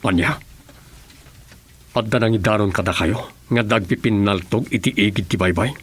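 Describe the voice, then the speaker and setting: Actor as a composed low-voiced Ilocano official. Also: male voice, normal speed, slight background noise, close to an everyday voice. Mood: businesslike. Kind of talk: Ilocano radio drama acting.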